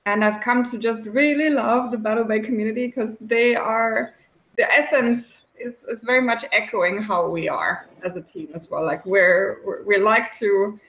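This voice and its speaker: A woman's voice: a little high, steady tone, normal speed, fairly bright tone. Giggly german woman, echoey room. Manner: chatty and giggly, many fillers. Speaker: game developer community liaison. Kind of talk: casual interview answers.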